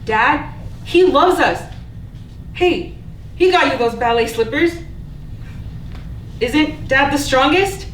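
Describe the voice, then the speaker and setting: A woman playing a bitter, sharp-tongued older sister in a staged play reading, sullen and exasperated. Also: high-pitched, expressive, slow, long pauses. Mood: insistent. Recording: distant mic, echoey room, faint noise.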